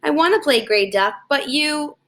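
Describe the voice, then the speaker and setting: Woman voicing an earnest, high-pitched little kindergarten girl. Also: animated, moderate pace, fairly bright tone. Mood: hesitant, earnest. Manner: plaintive, blunt. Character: earnest, blunt five-year-old kindergarten girl, easily puzzled. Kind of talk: children's storybook read aloud.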